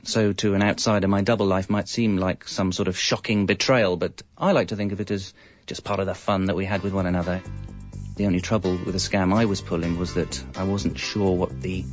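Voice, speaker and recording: An actor playing a wry, evasive British husband and father in his thirties, confessing in a deadpan, conversational comic narration. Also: low-pitched, fairly quick. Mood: confiding, deadpan. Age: 30s. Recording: dull, lo-fi radio audio. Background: music.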